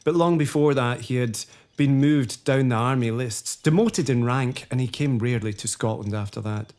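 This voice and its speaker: An actor playing a gentle, indulgent gentleman husband in a period audio drama. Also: mid-range voice, normal speed, lightly acted. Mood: storytelling. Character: easygoing gentleman husband of the lady.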